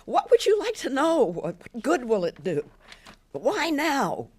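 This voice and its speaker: A woman reading a storybook part as a reluctant old woman haunted by memory, halting, hesitant and defensive. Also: high-pitched, wildly swooping pitch, breathy edge, voice pushed up, full character voice.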